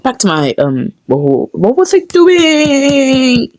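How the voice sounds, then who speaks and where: A woman's voice: high-pitched, huge pitch swings, slow, a little mellow, slight background noise. A woman chatting casually behind the scenes as a dub creator, rambling and talking to herself. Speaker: creator dubbing a comic.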